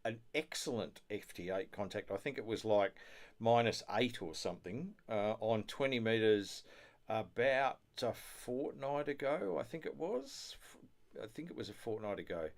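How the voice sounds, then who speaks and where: A man's voice: lowish voice, lively intonation, normal speed. Chatty man hosting a radio hobby show. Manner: affirming, often chuckles as he talks. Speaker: host of an amateur radio show. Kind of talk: casual chat about ham radio. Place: studio.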